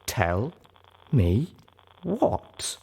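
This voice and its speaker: A man's voice: medium pitch, wildly swooping pitch, extremely slow, creaky, a little mellow, slightly rough, voice pushed up, heavily acted. A man voicing a female pony medic with a snappy, exasperated, scolding manner. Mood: slow, suspicious.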